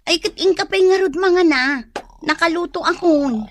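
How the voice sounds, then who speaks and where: A woman's voice: very high-pitched, normal speed, slight background noise. A woman with a Filipino accent playing a scolding, protective young wife in a comedy radio drama. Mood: fussing.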